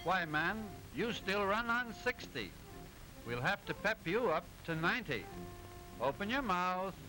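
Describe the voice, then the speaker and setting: A brisk cartoon doctor from the 1920s. His voice is high for a man, confident and declamatory, as if lecturing. Music plays behind him on an old film soundtrack. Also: very animated, poor audio. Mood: brisk, commanding. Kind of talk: 1920s cartoon acting, instructional explanation.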